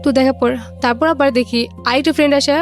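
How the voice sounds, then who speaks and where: A woman's voice: sits high, steady tone, fast talker. A young woman with a Bangladeshi accent telling her own story casually, in colloquial speech, quoting remembered conversations. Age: young adult. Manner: colloquial, acts out remembered dialogue. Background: music.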